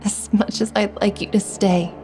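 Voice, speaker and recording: A woman's voice: medium pitch, fairly even intonation, moderate pace, soft background music, lightly acted, low-quality recording. A woman playing a tender, teasing wife in a dream, in an intimate audio drama. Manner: soft, affectionate, playful.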